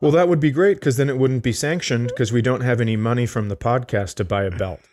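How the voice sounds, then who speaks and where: Mid-pitched man bantering on a podcast. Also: quick pace, a little mellow. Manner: teasing, sweary, mock-serious. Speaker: podcast co-host. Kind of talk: casual comedic banter and storytelling.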